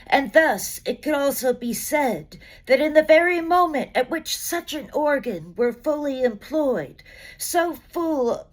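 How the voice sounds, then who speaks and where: A woman reciting poetry dramatically, with an expressive, measured delivery and deliberate pauses between phrases. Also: high voice, low-quality recording. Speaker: poetry reader.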